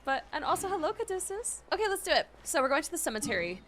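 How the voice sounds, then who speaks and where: A woman's voice: high voice, sing-song, fast talker, fairly bright tone. A woman gaming streamer giving casual, playful and exclamatory reaction commentary. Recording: game music behind the voice.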